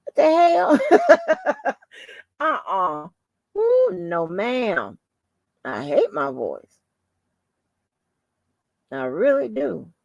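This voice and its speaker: Soft-voiced woman chatting slowly on a livestream. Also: on the high side, gravelly, no echo. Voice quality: soft, calming voice. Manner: rambling, informal, addresses viewers as y'all. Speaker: livestream host. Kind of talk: casual livestream chat with viewers.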